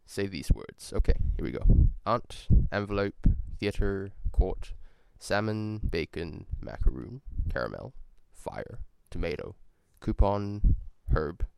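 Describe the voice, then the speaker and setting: A man's voice: lowish voice, expressive, slow pace, vocal fry, hardly any pauses, clean tone, no room sound, rough recording. A young man from New Zealand with a New Zealand accent, chatting casually and rambling through a vlog with self-corrections.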